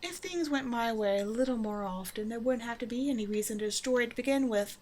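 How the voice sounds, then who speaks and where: A woman's voice: fairly high pitch, fast, a little mellow, natural voice. Flirty, self-assured villainess, acted by a woman, noisy background. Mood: confident, mildly exasperated. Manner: teasing, casual, self-assured. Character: flirtatious female supervillain, a demanding but generous tailor's customer. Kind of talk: roleplay audio drama, intimate one-sided dialogue to listener.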